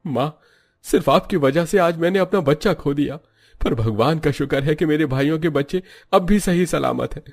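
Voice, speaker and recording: A man's voice: a little high, normal speed, faint music behind, pitched above the performer's own voice. A man voicing a worried, angry young husband in a cartoon story, reproaching his mother in an earnest way. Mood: bitter, grieving. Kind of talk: Hindi cartoon story character voice.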